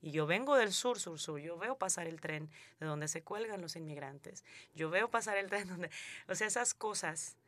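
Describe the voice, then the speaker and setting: A Mexican actress with a Mexican accent, speaking earnestly as a radio interview guest, with frequent fillers and restarts. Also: female voice, lowish voice, lively intonation, moderate pace, fairly bright tone.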